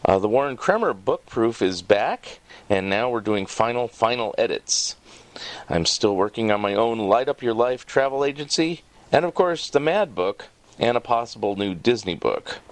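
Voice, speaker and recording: Male podcast host, fifties, mid-pitched, very dry recording, announcing and interviewing. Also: animated, moderate pace, no room sound. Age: 50s.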